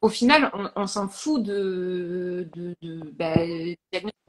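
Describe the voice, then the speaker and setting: A woman's voice: on the low side, slow. A woman hosting a live question-and-answer discussion, asking questions conversationally and adding her own views. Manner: conversational, short agreeing interjections. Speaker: host asking viewer questions.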